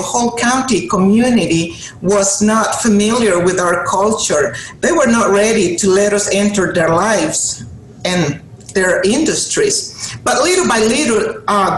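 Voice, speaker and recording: A middle-aged woman from Honduras, a native Spanish speaker with a Honduran Spanish accent, giving an earnest motivational speech to an audience, with emphatic repeated phrases. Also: medium pitch. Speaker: guest speaker. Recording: roomy hall sound with faint noise.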